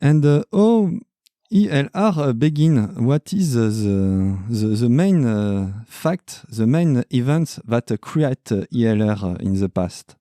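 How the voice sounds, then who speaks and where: A man's voice: medium pitch, animated, unhurried, dark tone, no echo, clean studio sound. A man with a French accent hosting a podcast interview in English, hesitant with many fillers. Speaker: podcast host. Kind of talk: casual interview.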